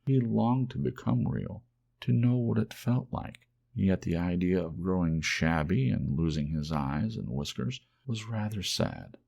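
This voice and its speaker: A man narrating a children's storybook in an ASMR audiobook style, measured and expressive. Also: low voice, gravelly.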